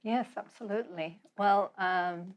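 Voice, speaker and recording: A woman in her sixties with a London accent and Polish as her first language, calmly recounting her life as a guest author in an interview. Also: medium pitch, measured pace. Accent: London English. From London, England. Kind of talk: relaxed interview storytelling.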